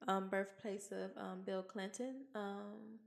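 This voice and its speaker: Woman, 20s, from Arkansas, gravelly casual podcast co-host. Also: medium pitch, level tone, takes it slowly. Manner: chatty, repeats herself, laughs along. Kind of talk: casual chat.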